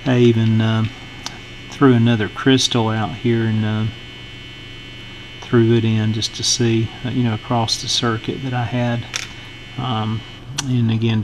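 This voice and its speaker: A middle-aged man talks through a repair in an informal, halting way, thinking aloud. His mid-pitched voice stays very even and flat, with clear noise behind it. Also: rough recording. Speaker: host of a radio repair channel. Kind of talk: informal hands-on repair walkthrough. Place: indoor electronics workbench.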